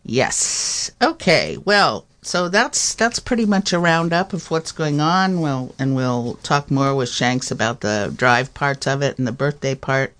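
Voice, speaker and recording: A woman hosting a community radio show, speaking in a measured way with many "um" fillers. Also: rumbling low, animated, fairly bright tone. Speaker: radio station manager and host. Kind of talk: casual radio chat.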